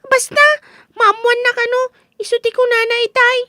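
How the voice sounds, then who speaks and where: A woman's voice: extremely high pitch, level tone, moderate pace, sharp tone, dry recording. A woman voicing a plaintive, naive little schoolgirl with an Ilocano accent in a comedy radio drama. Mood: insistent. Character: whiny, naive little schoolgirl upset about a prank.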